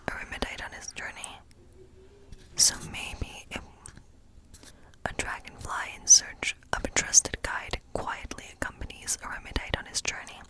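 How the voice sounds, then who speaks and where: A friendly woodland witch, played by a woman, whispers her way through an ASMR tarot role play. Her voice is all soft breath with no tone behind it. She rambles gently and lingers over phrases as she goes. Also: lightly acted, low-quality recording.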